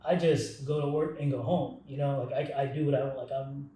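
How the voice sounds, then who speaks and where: Mellow young man, distant echoey mic. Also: medium pitch, steady tone, brisk. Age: young adult. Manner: rambling, frequent restarts and "like" fillers. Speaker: podcast co-host. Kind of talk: casual chat.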